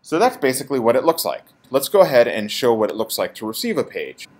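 A man's voice: medium pitch, sing-song, fast, a little mellow. A man presenting an informal, explanatory product tutorial as a support technician.

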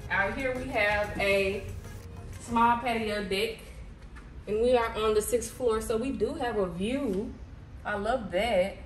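A woman's voice: a little high, slow. A woman in her twenties casually narrating her vlog as she goes along. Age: 20s. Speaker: vlogger. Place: indoors in condo rooms and inside a car. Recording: echoey rooms and car, music behind.

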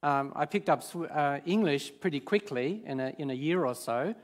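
A man in his fifties, born in Sweden with Swedish as his first language, preaching on a large church stage in a relaxed, hesitant way full of fillers. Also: a little high, normal speed. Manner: hesitant, many fillers and restarts. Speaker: guest preacher. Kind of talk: informal sermon.

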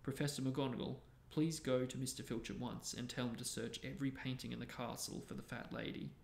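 A man voicing an old headmaster from a storybook, quiet, composed and authoritative. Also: medium pitch, little pitch movement, fairly quick, creaky, roomy sound, a little hiss or hum, close to an everyday voice. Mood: grave, quiet. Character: calm, grave old male headmaster.

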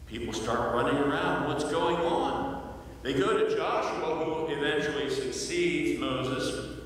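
An older man preaching a sermon in a large church sanctuary, telling the story with playful asides and lively voiced quotes. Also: on the high side, a little slow. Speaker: pastor. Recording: echoey hall from far off.

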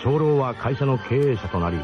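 A man acting a wry narrator with lively intonation. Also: medium pitch, moderate pace, lo-fi sound, music in the background, lightly acted. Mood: wry, nostalgic. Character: wry, nostalgic adventurer man looking back on his gang. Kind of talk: scripted first-person radio drama narration.

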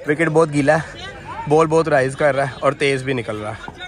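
A man commentating live on an amateur cricket match at an outdoor floodlit ground, remarking on the wet pitch and the bowling. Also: a little fast. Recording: crowd noise from an outdoor ground. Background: players and crowd chatter.

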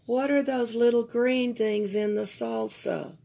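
An older woman with a Southern US accent and a slightly raspy voice reads a children's picture book aloud as a library storyteller, expressively, pausing to comment to young listeners. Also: a little high, dark tone. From Alabama, United States. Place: indoors at a library table.

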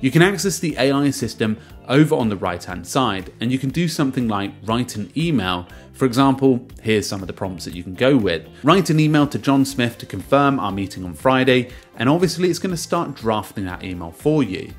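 Man reviewing software over background music. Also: mid-range voice, big pitch swings, brisk, hardly any pauses. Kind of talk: casual narrated screen-share software review. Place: voice-over to a screen recording.

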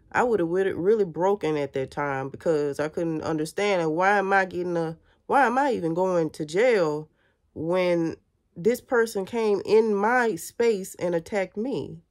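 A woman's voice: lowish voice, a little slow, darkish tone. Stuffy-voiced woman talking to camera. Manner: earnest, addresses viewers as y'all. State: stuffy from congestion. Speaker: spiritual vlogger. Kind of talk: casual spiritual talk to camera. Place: bedroom indoors.

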